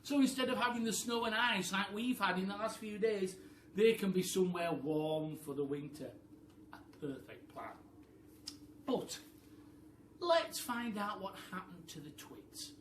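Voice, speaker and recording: A man's voice: sits high, lively intonation, unhurried. A man telling a story to children like a primary teacher, informal, with chatty asides straight to the listeners. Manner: informal, addressing children directly. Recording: roomy, noisy, distant microphone.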